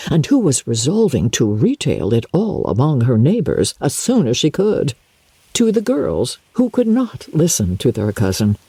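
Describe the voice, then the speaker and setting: A woman giving a dramatic, theatrical reading of classic literature, phrasing deliberately and leaning on key words. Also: low voice, warm tone, breathy edge, no room sound. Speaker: audiobook narrator, radio host and actress. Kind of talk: dramatic scripted narration of a classic novel.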